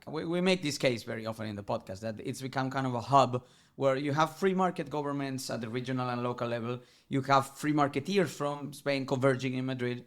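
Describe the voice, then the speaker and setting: A man's voice: mid-range voice, a bit bright. A man hosting a podcast, introducing and questioning in a conversational way. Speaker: podcast host.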